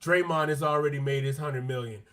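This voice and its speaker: A man talking as a podcast co-host in an easygoing sports chat. His voice sits a little on the high side, and he gets animated and slangy, repeating his points for emphasis. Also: brisk, a crowd in the background. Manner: animated, quotes players in their own voices.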